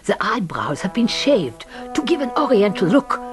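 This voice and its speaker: An actress playing a villain's intense, suspicious companion in a radio play, with a dramatic, urgent delivery. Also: female voice, medium pitch, big pitch swings, normal speed, music in the background, close to an everyday voice. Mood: urgent, insistent. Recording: film score behind voice.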